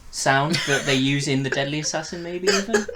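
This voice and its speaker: A man's voice: on the high side, fairly quick, heavy background noise. A man co-hosting a review podcast, talking through details in a casual fan discussion.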